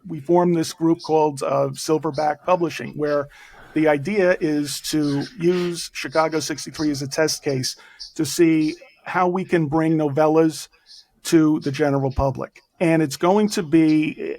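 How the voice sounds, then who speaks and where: A man with a Bronx accent talks as an author guest on an interview podcast. His voice sits a little high for a man, and he speaks in brisk, self-deprecating runs. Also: darkish tone, no room sound. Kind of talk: casual interview conversation.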